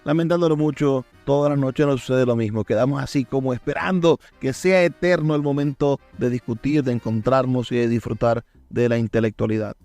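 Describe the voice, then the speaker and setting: A Venezuelan man hosts on radio with a medium-pitched voice and florid sign-off phrasing. He is recorded close and dry, with light music underneath. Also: a little mellow, no room sound. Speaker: radio host and poet.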